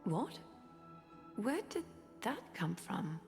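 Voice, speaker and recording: A woman voicing a serene, restrained noble young woman in a video game, speaking softly and measuredly. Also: mid-range voice, very animated, drawn-out words, heavily acted. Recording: long reverb, faint game music behind.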